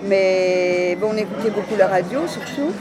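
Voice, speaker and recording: A man's voice: high voice, fairly even intonation, moderate pace. Hesitant Parisian man in a noisy street. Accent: Parisian French. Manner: hesitant, repeats himself, many fillers. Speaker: interviewee. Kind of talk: casual street interview. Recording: loud street crowd and music behind.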